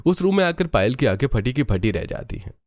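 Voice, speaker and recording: A man's voice: mid-range voice, sing-song, a little fast, lo-fi sound. A man narrating a scripted family cartoon story.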